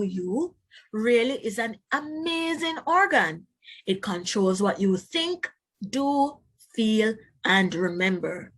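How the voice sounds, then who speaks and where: A woman teacher reading a children's book aloud, pausing to explain and put questions to young listeners. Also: fairly high pitch.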